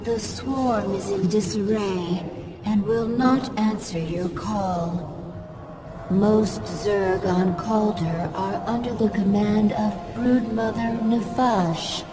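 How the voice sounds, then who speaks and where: A woman voicing a calm, obedient alien servant of a swarm queen in a video game, formal, measured and subservient. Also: medium pitch, close to an everyday voice. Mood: dutiful. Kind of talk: dramatic video game acting. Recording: distant voice under loud music.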